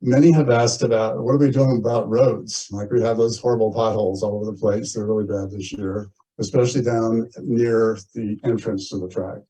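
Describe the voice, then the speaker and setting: A man's voice: on the low side, steady tone, a little fast, warm tone, slightly rough. An American man chairing a community meeting, explaining things plainly and informally, heard in muffled audio. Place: community meeting, shared over video call.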